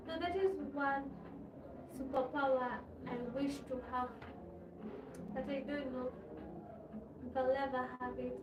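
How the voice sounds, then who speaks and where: A high-pitched woman with a Kenyan accent hosts a casual livestream. She rambles, repeating phrases and putting questions to her viewers. Her voice sounds echoey and far from the microphone, with clear noise behind it. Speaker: livestream host talking to her subscribers. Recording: echoey, distant mic, loud background noise.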